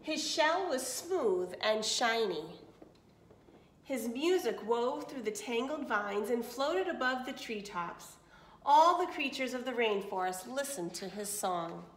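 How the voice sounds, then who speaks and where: A woman with a slightly high voice reads a children's picture book aloud. She is expressive and breaks off for small asides and questions. The room around her is clearly echoey. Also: slow pace. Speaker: storyteller reading aloud to children.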